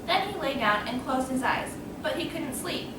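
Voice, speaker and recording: A woman's voice: high-pitched, lively intonation, normal speed. A woman reading a scripted children's story as narrator. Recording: very echoey room, distant mic, noisy.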